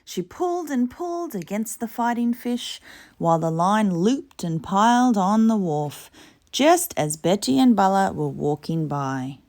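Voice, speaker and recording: A woman's voice: medium pitch, sing-song, unhurried, darkish tone. A woman reading a children's storybook expressively. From Torres Strait, Australia. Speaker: storybook reader.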